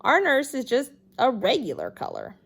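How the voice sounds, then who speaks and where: A woman giving an expressive read-aloud of a children's chapter book in an animated manner. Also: high voice, moderate pace, vocal fry, slightly rough. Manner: animated, acts out the dialogue. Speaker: storybook reader.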